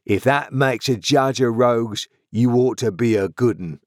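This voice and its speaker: A man voicing a sullen, insolent journeyman in his twenties, growling gruffly in rustic working-class speech for a storybook. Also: mid-range voice, lively intonation, a little slow, no echo, studio quality, pitched above the performer's own voice, natural voice. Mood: menacing, insolent. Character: surly sullen journeyman blacksmith, about twenty-five, resentful and insolent.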